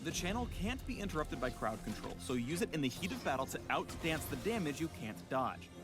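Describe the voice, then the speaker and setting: A man's voice: on the high side, very animated, a little fast, music in the background. A man reading scripted promotional tutorial narration for a video game spotlight, with playful wording.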